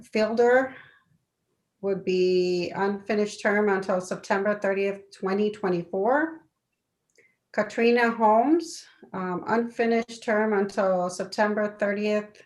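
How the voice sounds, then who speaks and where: A woman council member reading a formal committee report aloud, halting now and then to check and correct details. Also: mid-range voice, darkish tone, rough recording. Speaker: city council member presenting committee appointments. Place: local government meeting.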